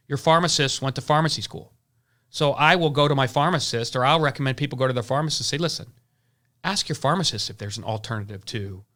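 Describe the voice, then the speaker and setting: A man talks through insurance advice in a loose, casual way. His voice is bright and forceful, and he often stumbles, repeating words and starting sentences over as he goes. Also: mid-range voice. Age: 40s. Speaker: insurance agency owner.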